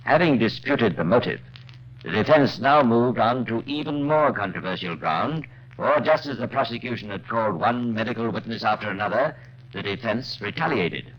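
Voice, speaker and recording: A man narrating a 1950s radio crime drama in a deliberate, suspenseful scripted style. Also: mid-range voice, animated. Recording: muffled old radio recording.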